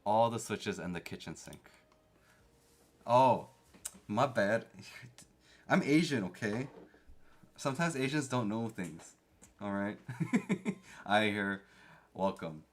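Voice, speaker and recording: A man's voice: fairly low pitch, animated, takes it slowly, long pauses. A young man from Canada who grew up speaking Korean at home, chatting casually with live-stream viewers and reading the chat aloud. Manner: chatty, reads chat aloud, playfully defensive.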